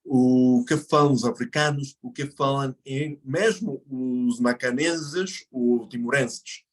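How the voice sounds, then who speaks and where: A man from Mexico, a native Spanish speaker, talking as a guest in a relaxed interview and explaining things slowly with frequent self-corrections. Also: medium pitch. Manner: explanatory, thinks aloud with pauses and self-corrections. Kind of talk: casual interview conversation.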